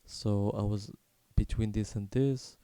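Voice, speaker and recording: A man in his twenties from Morocco tells a personal story unscripted, in a halting, candid, casually sweary way. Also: lowish voice, fairly even intonation, warm tone, clean tone, no room sound. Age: 20s. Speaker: video creator telling his life story.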